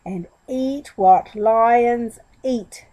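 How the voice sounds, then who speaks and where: A woman's voice: fairly high pitch, very slow, warm tone, no echo. A woman voicing a knowing male monkey in a children's story, lecturing with dramatic deliberation. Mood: instructing.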